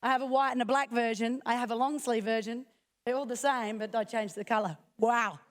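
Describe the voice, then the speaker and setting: A woman speaks at a conference with a croaky voice she is fighting to keep going. Her pitch sits fairly high and the tone stays smooth beneath the rasp. She talks in a chatty way, full of quick self-joking asides. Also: steady tone. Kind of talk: casual conference talk. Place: conference hall.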